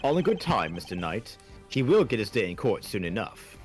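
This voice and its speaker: Man as a stern, animated game prosecutor. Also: on the low side, normal speed, faint music behind. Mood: menacing. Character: stern, cutting male prosecutor in a courtroom game. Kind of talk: fan dub of a video game.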